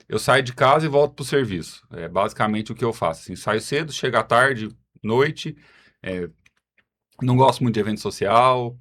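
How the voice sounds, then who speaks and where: A man's voice: mid-range voice, fairly bright tone. A man with a Goiás Brazilian accent giving casual interview answers, self-deprecating and wandering into explanatory asides. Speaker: podcast guest, city health secretary.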